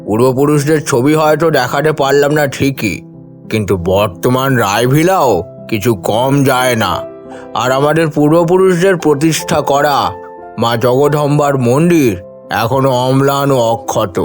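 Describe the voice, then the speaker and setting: An actor playing an elderly, proud and hospitable family patriarch with a stately, authoritative delivery in an audio story. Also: male voice, mid-range voice, close to an everyday voice. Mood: nostalgic, proud. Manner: slow, stately, authoritative speech. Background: background music.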